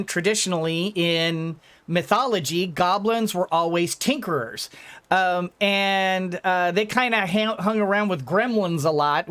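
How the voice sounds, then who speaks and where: Male gaming host, notably high voice, casual and rambling, talks his way through each step. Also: a bit bright, clean tone. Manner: rambling, thinking aloud. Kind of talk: casual let's-play commentary.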